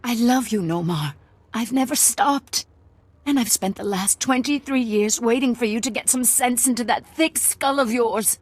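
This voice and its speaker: An actress voicing a wary, stubborn, lovelorn middle-aged woman in a dramatic video game scene, with a husky voice. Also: on the high side, moderate pace, no room sound, close to an everyday voice. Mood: pleading, heartfelt.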